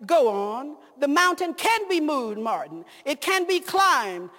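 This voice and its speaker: A woman's voice: high voice, big pitch swings, takes it slowly, fairly bright tone, pitched above the performer's own voice, heavily acted. A woman voicing God as a stern but tender old male deity, urging in a rhythmic, rising way. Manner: exhorting, rhythmic and urgent. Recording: long hall reverb.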